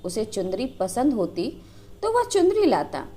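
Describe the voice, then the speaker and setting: A woman's voice: fairly high pitch, wildly swooping pitch, measured pace, mellow. A woman storyteller playing a playful young village wife who banters coyly but stays loyal. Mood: protesting. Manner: coy, bantering.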